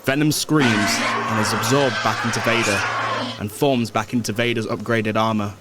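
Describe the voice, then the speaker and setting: A man's voice: on the low side, steady tone, moderate pace, very noisy. A man narrating a scripted audio drama. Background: music.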